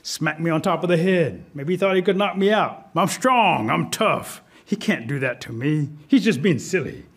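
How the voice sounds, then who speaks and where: A man's voice: on the high side, animated, normal speed, hardly any pauses, fairly bright tone, husky, full character voice. A man voicing a tough, vain, grumbling alligator in an oral folktale, in a blunt, boastful manner. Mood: smug.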